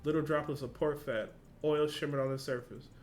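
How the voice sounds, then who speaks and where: A man's voice: fairly high pitch, steady tone, fairly quick, a little mellow, a little hiss or hum. A man with a casual, slangy, informal way of talking, hosting a reaction video and reading along.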